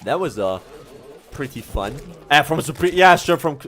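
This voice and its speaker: A man's voice: on the high side, sing-song, normal speed, music in the background. A young Swiss man, a German speaker, chatting casually while streaming a game, often laughing and correcting himself. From Switzerland. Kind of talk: casual gameplay livestream commentary.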